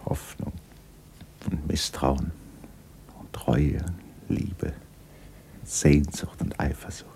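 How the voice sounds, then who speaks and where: A man narrating a literary radio play in a husky, measured, sombre manner. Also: very deep voice, monotone, dark tone, whispered.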